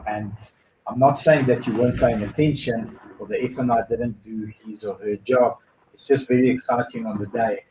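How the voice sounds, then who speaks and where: A man's voice: fairly low pitch, darkish tone, slight background noise. A man, originally from Bulgaria, hosting a car dealer's viewer Q&A and explaining answers step by step in casual advice talk. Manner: conversational, explains step by step with fillers. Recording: roomy echo with faint ambience.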